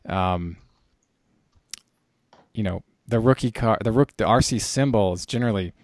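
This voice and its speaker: Low-pitched, gravelly man. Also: moderate pace, a little mellow, no room sound. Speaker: podcast host. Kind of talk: casual hobby talk.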